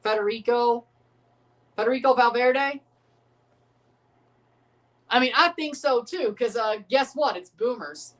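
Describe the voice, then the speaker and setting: A man's voice: very high voice, unhurried, pauses often, a bit bright, distant mic. A man, hosting a casual livestream reaction and rambling profanely while second-guessing himself. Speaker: livestream host reacting to a match.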